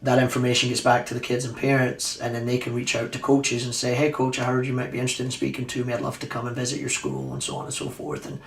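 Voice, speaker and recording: A man, a college coach, explaining recruiting quickly in an interview. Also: mid-range voice. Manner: fast, explanatory. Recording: echoey, far from mic.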